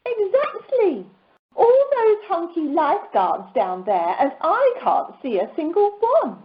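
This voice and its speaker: A grumbling female holidaymaker with an eye for men, acted by a woman in an amateur radio comedy. Her voice is extremely high and swings wildly, on a dull telephone-conference recording. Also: wildly swooping pitch, slow, full character voice.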